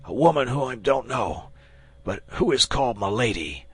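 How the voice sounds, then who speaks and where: A man's voice: expressive, moderate pace, fairly bright tone, breathy edge, no room sound. A man voicing a wounded, terrified soldier who begs for his life. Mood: fearful. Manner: pleading, high and strained.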